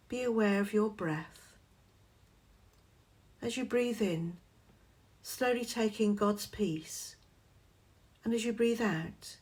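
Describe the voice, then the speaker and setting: Female meditation guide, medium pitch, very unhurried scripted delivery, gentle and measured.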